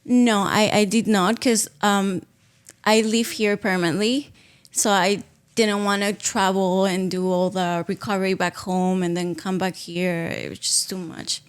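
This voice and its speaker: A woman's voice: medium pitch, little pitch movement, measured pace, smooth. A woman in her thirties from Peru, a native Spanish speaker, telling her own story casually as a podcast guest, sometimes searching for words. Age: 30s. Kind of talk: casual personal account.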